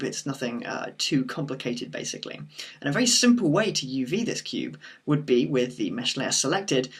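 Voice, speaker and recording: A man with a croaky voice from a sore throat, talking through a screen-recorded software tutorial in a steady explanatory way. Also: medium pitch. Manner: steady explanatory walkthrough with occasional fillers.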